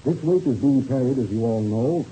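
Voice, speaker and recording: An actor playing a formal, firm air-race official who speaks precisely and with authority in a 1930s radio serial. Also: male voice, medium pitch, expressive, moderate pace, warm tone, close to an everyday voice. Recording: muffled, hissy old radio recording.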